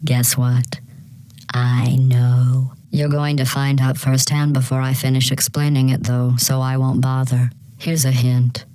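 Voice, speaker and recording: An actress playing a passive-aggressive, coolly mocking artificial intelligence in a video game, deadpan and condescending. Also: female voice, a bass voice, a little slow, clean tone, no room sound. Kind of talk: scripted video game villain dialogue.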